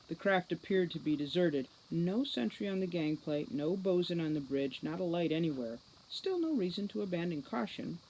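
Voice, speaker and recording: A woman giving an expressive scripted narration of a story as an audiobook reader. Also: low-pitched, slight background noise, low-quality recording.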